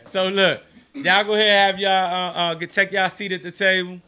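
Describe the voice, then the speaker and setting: A man's voice: high voice, level tone, brisk, smooth. A man hosting a casual live show, with a big voice, peppering his talk with "man". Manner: loud, says "man" a lot, big voice. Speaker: show host.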